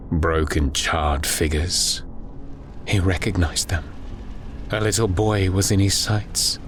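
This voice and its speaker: A man narrating a dark war horror audiobook in a grave, solemn, dramatic scripted style. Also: low-pitched, a little hiss or hum.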